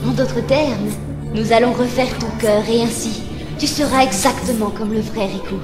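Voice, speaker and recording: A woman's voice: fairly high pitch, steady tone, normal speed, close to an everyday voice. A woman playing a sadistic young villainess in a fan dub, sly, taunting and mock-sweet in her condescension. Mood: cold, mocking. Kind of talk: dramatic fan dub acting. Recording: roomy home dub under loud music.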